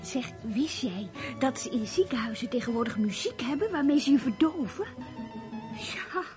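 An actress playing a fretful, superstitious, nagging wife in a radio drama, chattering in broken-off sentences. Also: female voice, high-pitched, lively intonation, moderate pace, over music. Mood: hopeful chatter. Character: nagging, excitable, superstitious wife of a jobless man.

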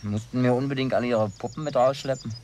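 An actor playing a stubborn, naive small-town man in radio drama dialogue, blunt and gruffly reproachful. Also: mid-range voice, steady tone, fast talker, darkish tone, slight background noise, close to an everyday voice. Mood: curious, grumbling. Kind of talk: naturalistic German radio play dialogue.

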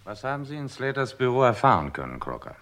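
An actor playing a curt, authoritative chief inspector with dry courtesy, in a vintage German radio crime play. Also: male voice, medium pitch, sing-song, quick pace, a bit bright. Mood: brisk, businesslike. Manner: curt, commanding, dryly courteous. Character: brisk, authoritative male police chief inspector. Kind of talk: 1950s-80s German radio crime drama acting.

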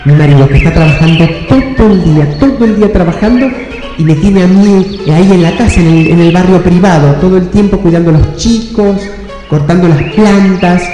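A man's voice: high voice, brisk, lightly acted. A man playing a chatty, neglected rich housewife in an improvised radio play, with a Rioplatense Argentine accent. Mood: complaining. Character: bored, chatty, neglected wife of a rich businessman. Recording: echoey hall with loud music.

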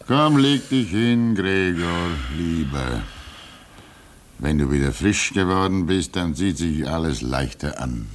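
An actor playing a calm, fatherly older man at a polar station, speaking gently and cautiously. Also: deep voice, takes it slowly. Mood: soothing. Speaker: radio drama actor.

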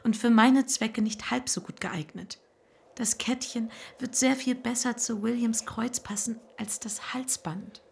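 An actress playing a shy, devoted young woman in a radio play, emotional and often hushed. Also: on the high side, fairly even intonation, a little slow, gravelly, a little hiss or hum. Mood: anxious, hesitant. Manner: emotional, breaking into hushed whispers. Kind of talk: German radio play acting. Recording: studio radio play with music underneath.

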